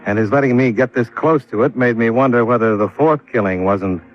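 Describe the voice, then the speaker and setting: An American actor playing a dry, clipped, world-weary insurance investigator who narrates in old-time radio drama style. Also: male voice, mid-range voice, a little fast, close to an everyday voice. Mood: dry, measured. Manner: dry, clipped, hard-boiled. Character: world-weary, wry freelance insurance investigator, adult man. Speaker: lead actor and narrator of a radio detective series. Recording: dull vintage radio recording, background music.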